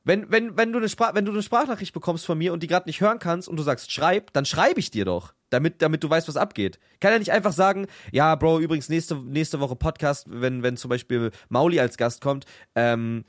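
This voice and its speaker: Insistent young man, fast and dry. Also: fairly high pitch, expressive, no echo. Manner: insistent, argumentative, repeats his point. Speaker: podcast co-host. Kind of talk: casual banter between friends.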